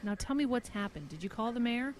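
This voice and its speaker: A woman's voice: on the high side, lively intonation, a little fast, a little hiss or hum, close to an everyday voice. An actress playing a frightened, stubborn woman who survived a monster attack in an audio drama, urgent and insistent. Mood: subdued, stubborn. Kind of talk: audio horror drama acting.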